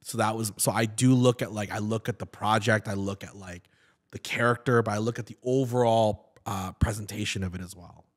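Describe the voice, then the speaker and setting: A man in his thirties, a guest on a podcast, talking casually in a studio with a boom mic, animated and full of restarts. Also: fairly quick. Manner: animated, gesturing, with many repeated words and restarts. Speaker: podcast guest, actor and comedian. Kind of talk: casual interview chat. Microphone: studio mic on a boom stand.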